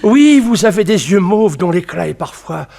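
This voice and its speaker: A high-pitched, lovestruck mad doctor from a sixties French radio serial. He is oily and courtly, presses insistently and gets easily excited. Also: normal speed, vocal fry, a little hiss or hum, full character voice. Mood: smitten, wheedling. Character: smitten, unhinged asylum doctor, oily and insistent.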